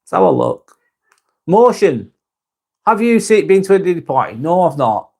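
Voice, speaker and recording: A man hosting a live stream, reading out viewer comments and chatting in a relaxed, rambling way with plenty of restarts and the odd swear word. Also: high-pitched, normal speed, gravelly.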